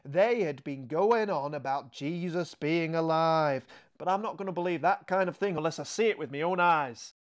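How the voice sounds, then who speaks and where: A man's voice: fairly high pitch, normal speed, hardly any pauses, voice pushed up, natural voice. A man voicing a small, stern male sceptic in a children's audiobook, with a blunt, colloquial, emphatic manner. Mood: stubborn, sceptical. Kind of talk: children's audiobook character reading. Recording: music behind the voice.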